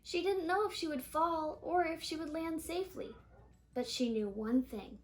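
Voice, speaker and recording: A woman reading a children's picture book aloud in an expressive storytelling manner, stretching key words. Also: high voice, normal speed. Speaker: storybook reader.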